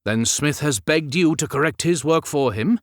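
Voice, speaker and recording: A man voicing a gentle, resigned older Victorian mill manager in an audiobook, wearily questioning and chiding his clerks. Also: a little high, moderate pace, no room sound, studio quality, pitched above the performer's own voice, close to an everyday voice.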